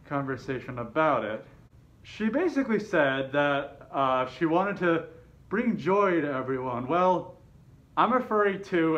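A man's voice: a little high, fairly bright tone. A man telling a personal story in a casual, rambling way, hesitant and often correcting himself. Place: open living room with kitchen at home. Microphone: camera mic a short way off, speaker inside a fursuit head. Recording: dull, slightly muffled home camera audio.

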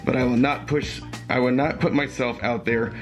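A young man with a voice going hoarse, speaking earnestly and haltingly with fillers and restarts, recorded close on a webcam in a small room at home. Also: mid-range voice, steady tone, music in the background. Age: young adult. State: losing his voice, hoarse. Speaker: commentary YouTuber. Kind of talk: reading aloud and commenting.

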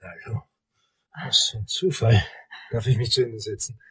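An actor playing a wry, reluctant investigator in a radio crime drama, with a husky voice. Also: male voice, lowish voice, normal speed, no room sound. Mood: polite, tentative.

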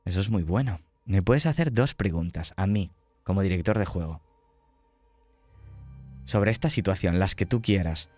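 A man with a low voice narrates a tabletop horror game as its game master. Faint music plays behind him. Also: expressive, moderate pace, long pauses, a little mellow, no room sound.

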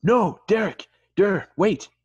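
A man acts out a dim, excitable male model from a comedy. His voice sits high and husky. He is earnest and dramatic, easily frustrated, heard through dull video call audio. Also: slow pace, full character voice. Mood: earnest, resigned. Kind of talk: amateur table read over video call. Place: home, remote video call.

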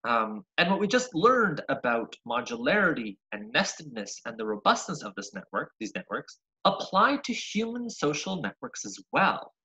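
A young man from Argentina giving an online science seminar over a video call, lively and full of ums and uhs, often restarting words. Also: on the high side, moderate pace, few pauses, rough recording. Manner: lively, frequent ums and uhs, self-correcting. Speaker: graduate researcher giving a seminar. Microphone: webcam on a video call.

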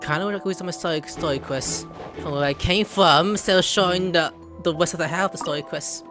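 A man's voice: on the high side, brisk. A young man giving casual, exclamatory let's play commentary in an informal, reactive way. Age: young adult. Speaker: gaming host. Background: game music.

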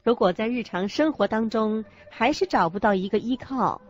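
A woman reading scripted religious teaching as a co-narrator, in a measured, explanatory manner. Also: on the high side, expressive. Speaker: co-narrator of a Buddhist teaching radio program. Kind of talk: scripted didactic narration in a radio program. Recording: muffled lo-fi audio with music underneath. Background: background music.

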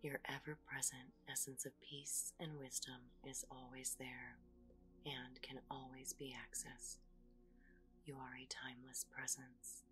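Deep-voiced whispering woman meditation guide. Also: level tone, soft background music, poor audio. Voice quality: soft whispery delivery. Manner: slow, soothing, gentle instructions.

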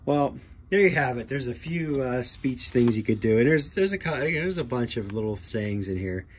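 A man giving a casual how-to demonstration as a hobbyist, talking haltingly and thinking aloud. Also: medium pitch, animated. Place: small cluttered workshop indoors. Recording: noticeable background noise with clicking keys.

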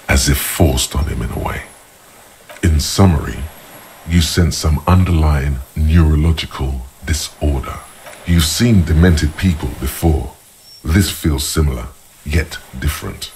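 An actor playing a grave, observant inner-voice narrator in a video game, speaking in measured, portentous literary prose. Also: male voice, rumbling low, darkish tone, slight background noise. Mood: grave, analytical.

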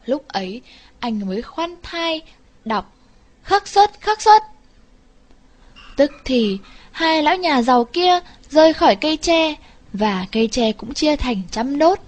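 Female storyteller for scripted folk tales, higher-pitched voice, expressive delivery. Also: unhurried. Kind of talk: scripted fairy tale narration.

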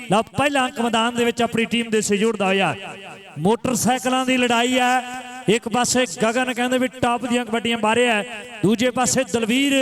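Male sports commentator, extremely high voice, live kabaddi calls, repeated rallying phrases. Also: fairly bright tone. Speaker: kabaddi match commentator and announcer. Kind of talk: live sports commentary with crowd-rousing announcements. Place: live village kabaddi tournament ground.

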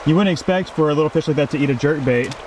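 A man's voice: fairly high pitch, rapid-fire, a little hiss or hum. A man filming himself wading a creek, chatting casually and explaining where the fish should be. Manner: excitable, explains the spot while fishing. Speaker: fishing vlogger. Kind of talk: casual outdoor fishing commentary. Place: outdoors wading a mountain creek.

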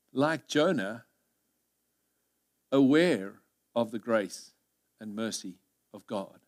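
A man in his thirties, a native Afrikaans speaker with a South African accent, preaching earnestly on a church stage through a headset mic, moving between loud emphasis and hushed asides. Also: mid-range voice. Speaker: pastor. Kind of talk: sermon.

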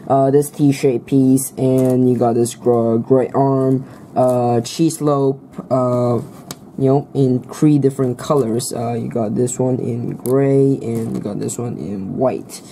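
A man's voice: mid-range voice, fairly even intonation, slow pace, gravelly, warm tone, a little hiss or hum. A man reviewing a toy set in a casual, hesitant way, filling his talk with fillers and restarts, recorded at a tabletop indoors.

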